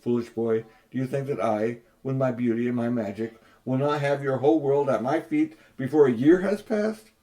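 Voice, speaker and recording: A man's voice: mid-range voice, moderate pace, hardly any pauses, warm tone, natural voice. A cold, imperious queen, as an older man reading a storybook puts her on. She speaks with haughty disdain, as if every listener were beneath her. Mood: scornful. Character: haughty cruel queen, tall and commanding.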